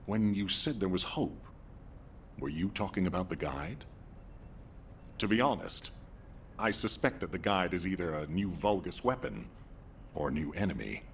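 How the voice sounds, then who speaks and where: A stern male commander in a video game. The voice is low and authoritative, measured and wary, over a faint rumble. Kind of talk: dramatic video game acting.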